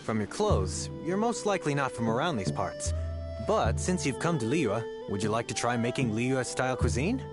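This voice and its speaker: Courteous innkeeper, played by a man, measured and hospitable, animated pitch. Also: natural voice. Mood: polite. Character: courteous male innkeeper, polite. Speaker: game character. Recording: game audio under music.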